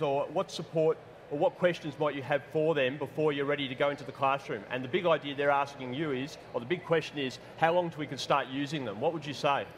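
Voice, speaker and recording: A man's voice: a little high, a little hiss or hum. A man moderating a conference panel on stage, posing long scenario questions to the panelists.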